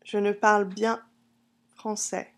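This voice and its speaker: A woman teaching a language lesson, saying each short phrase slowly and carefully, then repeating it several times for the listener. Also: medium pitch, level tone. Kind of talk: phrase-drill lesson narration.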